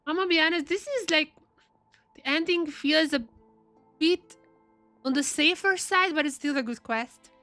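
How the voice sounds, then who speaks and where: Female game streamer, high voice, chatty and casual, repeats herself for emphasis, music underneath. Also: normal speed, long pauses, pushed and bright, dry recording. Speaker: live streamer reacting to a game. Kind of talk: casual live game reaction commentary.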